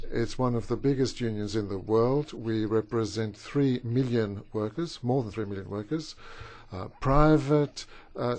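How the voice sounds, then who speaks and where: A man with a strong Austrian German accent, whose first language is German, speaks at a conference for his trade union. He talks haltingly, stopping and restarting often, at an ordinary middle pitch. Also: a little mellow. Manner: halting, with many fillers and restarts. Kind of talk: conference speech.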